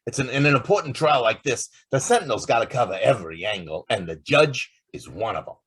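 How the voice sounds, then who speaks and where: A man playing a determined, wisecracking newspaper reporter with a husky voice in an old time radio drama. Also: mid-range voice, lively intonation, fairly quick, a bit bright. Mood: brisk, determined.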